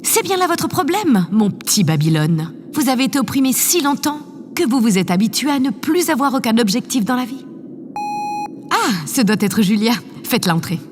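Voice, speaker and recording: A woman's voice: on the high side, sing-song, normal speed. A woman playing an imperious, self-absorbed female president in an audio drama, theatrical, commanding and condescending. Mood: lecturing, smug. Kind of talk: scripted audio drama acting. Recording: long hall reverb, faint noise. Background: faint ambient noise.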